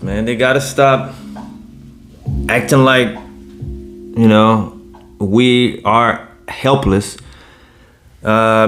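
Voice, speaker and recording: Man, late thirties, slow deliberate delivery, blunt and profane, faint guitar music underneath. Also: on the low side, fairly bright tone. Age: 30s. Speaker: commentary vlogger. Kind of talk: reaction commentary.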